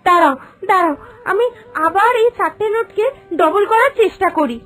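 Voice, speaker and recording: Woman acting a very high-pitched melodramatic young wife. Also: animated, normal speed, a bit bright. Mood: amazed, overjoyed. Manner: plaintive, emphatic, repeating phrases. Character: discontented, melodramatic young working wife, excitable and bossy. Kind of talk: dramatic cartoon voice acting.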